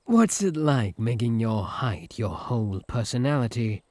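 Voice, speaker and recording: British man as teasing yandere incubus: unhurried, sly, sarcastic, swooping delivery, close dry sound. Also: mid-range voice, sing-song, darkish tone, dry recording. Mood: dry, mocking.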